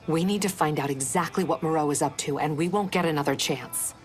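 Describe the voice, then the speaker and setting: Serious female informant played by a woman, distinctly low voice, urgent briefing tone, faint music behind, game drama. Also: brisk, lightly acted. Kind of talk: dramatic game cutscene acting.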